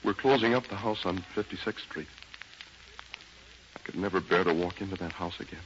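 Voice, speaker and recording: A deep-voiced, devoted husband played by a male actor speaks with solemn, halting tenderness and held-back heartbreak. The 1930s radio acting sits in a dull, faintly noisy old recording. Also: lightly acted. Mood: heartbroken tenderness.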